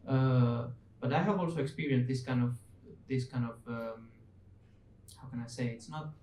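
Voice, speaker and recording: Mellow man, non-native English, echoey room. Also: moderate pace. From outside Finland. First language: not English. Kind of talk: hesitant, reflective panel talk. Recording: echoey room, distant mic, low rumble.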